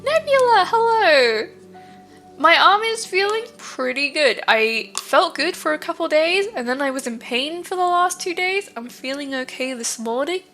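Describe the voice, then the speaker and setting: A woman's voice: high voice, expressive, a little slow, a bit bright, faint music behind. A woman streaming, chatting casually with viewers, rambling with lots of fillers and a stuffy nose from hay fever.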